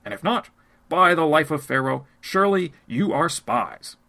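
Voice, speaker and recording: A man's voice: on the high side, sing-song, moderate pace, creaky, sharp tone, full character voice. A man reading the part of a stern male ruler in a Bible passage, raising his voice to deliver commands. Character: stern powerful male ruler testing strangers.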